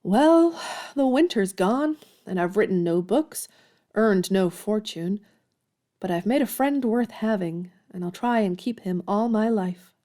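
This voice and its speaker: A woman's voice: mid-range voice, animated, a little slow, mellow, natural voice. An actress playing a frank, spirited young woman in an audio drama, speaking earnestly and candidly. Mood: reflective.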